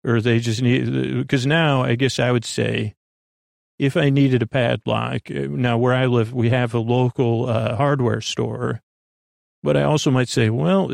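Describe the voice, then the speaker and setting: A middle-aged American man hosting a sleep podcast in a soothing, meandering monologue, halting, interrupting himself and trailing off. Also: medium pitch, steady tone, vocal fry, a little mellow, no echo, clean studio sound.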